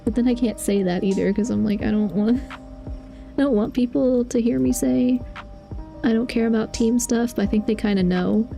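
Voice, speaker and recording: Mellow-voiced woman chatting while gaming. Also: mid-range voice, creaky. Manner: chatty and confiding, laughs often. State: losing her voice. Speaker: streamer. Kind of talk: casual chat while playing a game.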